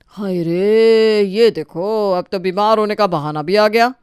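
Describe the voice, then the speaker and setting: A smug older brother, acted by a man, teases and taunts with drawn-out sarcasm. The family comedy story voice is clean and smooth, in a dry recording. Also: sits high, no room sound. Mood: sarcastic.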